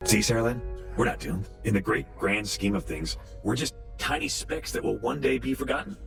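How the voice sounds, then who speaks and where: An actor playing a self-absorbed washed-up horse actor, speaking in a wistful, musing way. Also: male voice, mid-range voice, normal speed, gravelly, a bit bright, soft background music. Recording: roomy clip from far off.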